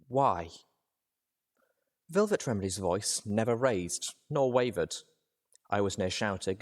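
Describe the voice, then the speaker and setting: A man reading first-person fiction aloud as a dramatic narrator. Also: on the low side. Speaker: story reader.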